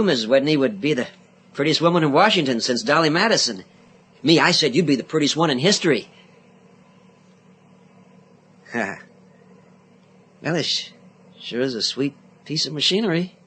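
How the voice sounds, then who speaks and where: A man's voice: a little high, animated, fairly quick, pauses often, fairly bright tone, slightly rough. An actor in a radio drama playing an eager, chatty young man, boyish, polite and rambling. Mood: cheerful, chatty. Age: young adult. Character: eager, chatty young male Senate page.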